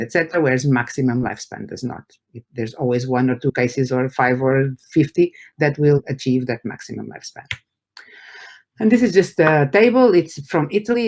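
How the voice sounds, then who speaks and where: Male professor from Portugal giving a lecture. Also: fairly high pitch. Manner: explanatory, halting with repeated words and rhetorical questions. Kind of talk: academic lecture to an audience.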